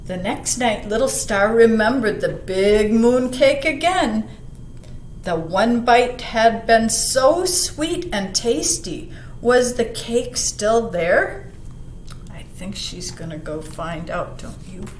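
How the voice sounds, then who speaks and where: A woman reading a picture book aloud to children, expressive, putting questions to her young listeners and dropping into hushed asides. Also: fairly high pitch, takes it slowly. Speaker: children's library storyteller. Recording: roomy echo, some background noise.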